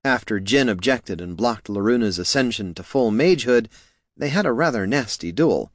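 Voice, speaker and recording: A man's voice: medium pitch, expressive, moderate pace, no echo, clean studio sound, lightly acted. A man voicing an earnest, anxious male quest organiser in an audiobook, placating and fretful in manner. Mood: earnest concern.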